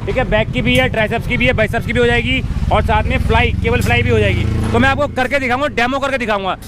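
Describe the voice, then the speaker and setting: A man's voice: extremely high pitch, fast talker. A man in his thirties hosting a casual vlog at an outdoor street market, enthusiastic and full of approving exclamations. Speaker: vlogger. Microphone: handheld mic. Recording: loud traffic noise outdoors.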